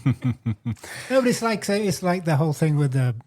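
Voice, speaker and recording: A man with a fairly high yet mellow, dark-toned voice giving his opinion on a podcast. He stops and restarts his phrases while he works out his point. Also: lively intonation, a little fast, a little hiss or hum. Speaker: podcast co-host. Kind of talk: casual roundtable chat.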